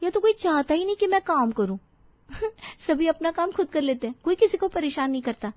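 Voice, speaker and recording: A woman voicing a cheerful, chatty young newlywed daughter-in-law in a storybook tale, excitable and girlish. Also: very high voice, brisk, dry recording, muffled recording, voice pushed up. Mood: delighted.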